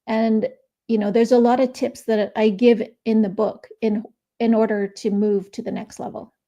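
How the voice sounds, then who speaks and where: A middle-aged woman speaks on a video call as a guest author, her voice mellow and a bit high. She explains her ideas at length, loosely and chattily, with plenty of fillers. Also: level tone, moderate pace. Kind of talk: casual interview chat. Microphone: remote video call.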